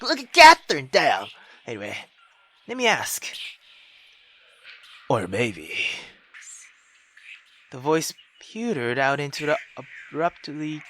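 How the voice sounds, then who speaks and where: A woman gamer comments casually on a visual novel and reads it aloud. She thinks out loud, hesitates and swears. Her pitch is very lively, though her pace is on the slow side. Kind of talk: casual reactive commentary and read-aloud narration.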